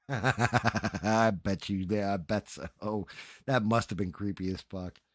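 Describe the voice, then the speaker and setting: A man's voice: on the low side, steady tone, slow, a bit bright, no echo, clean studio sound. A middle-aged man chatting casually as a livestream host, crude and joking in a rambling, sweary way.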